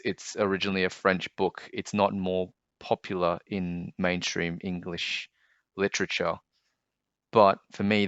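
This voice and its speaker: Guy reading to kids, really slow n deliberate, lowish voice, muffled roomy sound. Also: fairly bright tone, clear, resonant tone. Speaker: storybook reader, host. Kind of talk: read-aloud storytelling for children. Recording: dull, roomy home recording.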